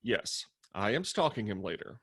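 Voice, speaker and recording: Actor as sardonic roommate, theatrically animated man. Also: on the low side, normal speed, creaky, husky, heavily acted. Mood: admiring, dry. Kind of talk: table read of a comedy screenplay.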